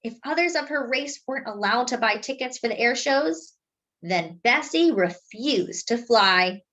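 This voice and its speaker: A woman reading a picture book aloud as a storyteller, with deliberate, dramatic phrasing and words drawn out for emphasis. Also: fairly high pitch, a bit bright. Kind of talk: expressive children's read-aloud narration.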